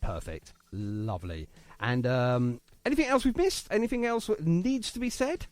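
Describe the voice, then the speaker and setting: British male radio host, lively and matey. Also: fairly high pitch, normal speed, no room sound. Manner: effusive, matey, teasing. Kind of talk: chatty live music radio interview.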